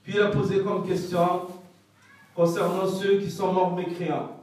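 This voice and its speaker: A man's voice: high voice, little pitch movement, normal speed, darkish tone, very echoey, recorded from a distance, low-quality recording. A man whose first language is not French, giving a religious lesson that relays a scholar's answers, and often stopping to search for the right French words. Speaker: religious teacher.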